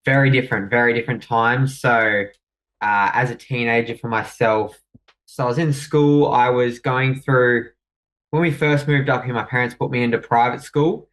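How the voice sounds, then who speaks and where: A man's voice: medium pitch, fairly even intonation, moderate pace, fairly bright tone, far from the mic, poor audio. A young Australian man from New South Wales, a podcast guest telling his own story in a reflective, hesitant way. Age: young adult. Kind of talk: personal story in conversation.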